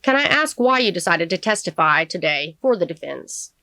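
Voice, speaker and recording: A woman reading the part of a courteous male defense attorney, questioning a witness gently and formally as in a courtroom novel. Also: lowish voice, big pitch swings, moderate pace, no room sound, heavily acted. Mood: gentle.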